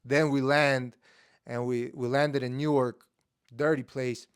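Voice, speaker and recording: A young man born in Estonia whose first language is Armenian, casually telling stories in an interview with a relaxed, filler-heavy manner. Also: mid-range voice, measured pace, clean tone. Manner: relaxed, many fillers, acts out his younger self with rising excitement. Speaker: guest, MMA fighter.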